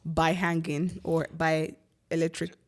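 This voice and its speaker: A woman's voice: low-pitched, measured pace. A young woman co-hosting a podcast, talking expressively in casual chat. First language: not Igbo. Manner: expressive, laughs often. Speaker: podcast co-host.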